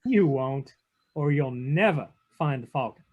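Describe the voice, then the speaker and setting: An actor playing a tough, defiant, wisecracking private detective in a 1940s radio drama, with blunt, slangy delivery. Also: male voice, mid-range voice, animated, a little slow, phone-quality sound, a little hiss or hum, natural voice. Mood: cool confidence. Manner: clipped, blunt, slangy hardboiled talk. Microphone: video call.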